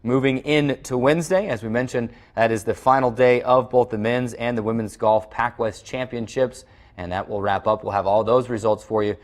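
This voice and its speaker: A man's voice: medium pitch, fairly even intonation, moderate pace. A man hosting a college sports show, steadily reading the week's schedule with occasional fillers. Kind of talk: scripted sports schedule recap.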